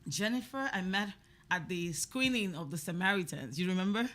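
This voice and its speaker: A woman's voice: lowish voice, normal speed. A woman from Africa hosting a talk show, chatting and laughing often as she questions her guests. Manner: laughs often, animated and teasing. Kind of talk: casual interview chat.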